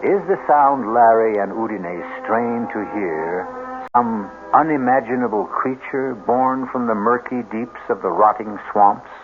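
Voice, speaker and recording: An actor as an ominous storyteller, hosting and narrating an old-time radio mystery gravely with theatrical, suspenseful pauses. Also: male voice, lowish voice, background noise. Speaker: host and narrator. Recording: muffled vintage radio broadcast.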